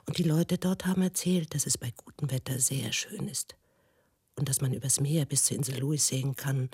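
An actress playing a grieving, haunted woman who recalls memories in a measured, subdued monologue for a radio play. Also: a bass voice, creaky, warm tone, slightly rough, heavily acted.

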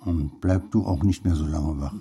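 An actor playing a weary, resigned old grandfather with dry wit and a gravelly voice in an audio drama. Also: rumbling low, moderate pace, mellow, a little hiss or hum.

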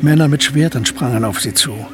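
An actor as the narrator of a historical crime radio drama, reading scripted literary third-person prose. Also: male voice, medium pitch, fairly quick, over a noisy crowd, lightly acted. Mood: narrating.

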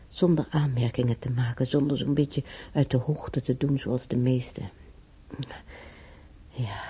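A man playing an elderly German emigrant in a radio drama, reminiscing in a rambling, halting way with a German accent and husky, creaky voice. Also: mid-range voice, dark tone. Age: older. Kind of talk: intimate radio drama monologue.

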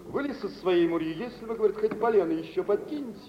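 An actor playing a boisterous, self-assured gentleman shipowner in theatrical mid-century radio drama, telling things in an expansive, swaggering way. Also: sits high, lively intonation, quick pace. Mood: jovial, expansive. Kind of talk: mid-century Soviet stage acting for radio. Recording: muffled, noisy 1950s radio recording, reverberant hall.

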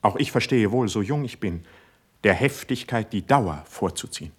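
Casting: sensitive young poet for verse radio drama; lowish, breathy voice, lofty fervent delivery. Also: male voice, lively intonation, moderate pace. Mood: earnest, pleading. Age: young adult. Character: idealistic, impulsive young male poet, ardent and sensitive. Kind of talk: classical German verse radio drama acting.

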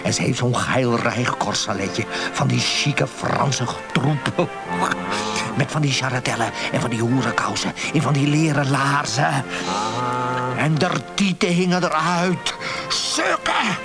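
A man's voice: mid-range voice, animated, measured pace. An actor playing a flustered, lustful and gullible male customer, stammering and repeating himself eagerly in a comic radio play. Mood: lewd excitement. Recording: radio play with loud music.

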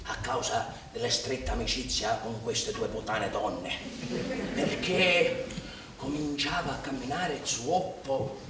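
A man's voice: mid-range voice, slow, distant mic, very noisy, natural voice. An actor playing a naive, boastful young Sicilian farmhand, telling his life in earthy dialect as a theatrical stage monologue. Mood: wry, rueful. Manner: earthy, colloquial, ungrammatical dialect storytelling. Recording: echoey theatre stage from afar, music behind.

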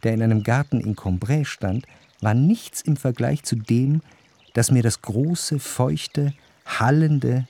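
An actor playing a reflective, wryly observant narrator in a literary radio drama, speaking in a measured, articulate way. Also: male voice, fairly low pitch, vocal fry, mellow. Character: reflective, observant male narrator of society life. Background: faint music.

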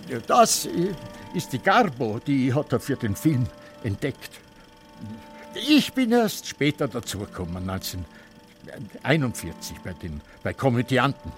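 An actor playing a forgetful, vain old retired film director, husky and halting, in a radio play. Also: male voice, medium pitch, sing-song, faint music behind. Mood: reminiscing. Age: older. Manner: halting, muddled, easily losing the thread.

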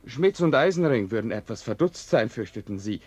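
An actor playing a wry, slyly flattering narrator in a radio drama, speaking in a measured, insinuating way. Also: male voice, medium pitch, natural voice. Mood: sly, soothing. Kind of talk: radio drama narration addressing listeners and character.

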